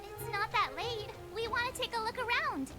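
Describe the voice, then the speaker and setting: A woman voicing a bubbly, curious young adventurer in a video game, chatty and excitable. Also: extremely high pitch, normal speed. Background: game music.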